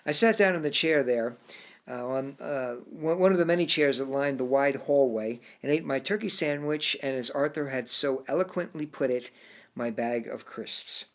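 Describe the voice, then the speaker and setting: Man reading a reflective first-person story. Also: mid-range voice. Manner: reflective, with occasional halting restarts. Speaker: narrator. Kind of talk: first-person audiobook narration.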